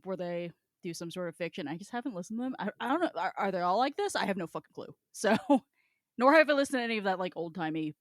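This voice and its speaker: A woman's voice: on the low side, dry recording, clean studio sound. A woman co-hosting a podcast in casual chat, with an irreverent, slangy, joking way of talking. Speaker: podcast co-host.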